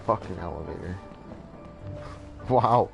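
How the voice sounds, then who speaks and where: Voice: deep voice, takes it slowly, gravelly, highs cut off. A game streamer blurting offhand, swearing reactions over gameplay. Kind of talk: casual commentary over gameplay. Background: game music.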